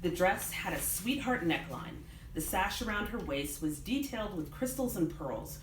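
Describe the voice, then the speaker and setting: A woman author giving an expressive live reading of her novel to a room. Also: low voice. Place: indoors in a bookstore. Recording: roomy live event, background noise. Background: room ambience, clear background noise.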